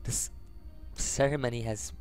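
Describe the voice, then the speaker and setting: Teenage male gamer, eighteen, average pitch, casual stumbling narration of on-screen text over soft music. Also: fairly even intonation. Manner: halting reading, stumbles over words. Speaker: gaming YouTuber. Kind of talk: casual let's play, reading game text aloud with commentary.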